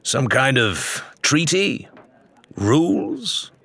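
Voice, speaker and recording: A man voicing a measured pirate captain who reasons like an orator, for an audiobook. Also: medium pitch, sing-song, a bit bright, voice pushed up, heavily acted. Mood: reasoned, challenging. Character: measured, pipe-smoking male pirate captain. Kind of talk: audiobook character voice.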